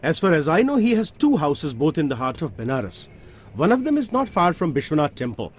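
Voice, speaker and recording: An actor playing a courteous, helpful, chatty hotel manager in a radio drama. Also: male voice, fairly high pitch, very animated, fairly quick, husky. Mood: cheerful, obliging.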